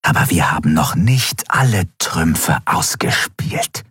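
A man's voice: very deep voice, animated, slow, a bit bright, breathy edge, no room sound, clean studio sound, faint music behind, heavily acted. A man voicing a fierce, defiant female military commander giving urgent orders in a fantasy audiobook.

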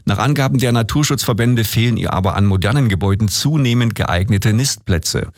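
A man's voice: fairly low pitch, no room sound. Formal male radio newsreader, dry studio. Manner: measured, formal delivery. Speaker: news anchor. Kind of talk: scripted radio news reading. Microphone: studio microphone.

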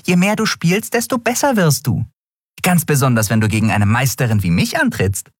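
A tiny winged female creature from a fantasy audiobook, voiced by a man. The voice is lifted high and very lively, with a slightly husky grain. It sounds cheeky, proud and teasing. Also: normal speed, no echo, clean studio sound. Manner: playful, teasing, boastful. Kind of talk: audiobook character voice.

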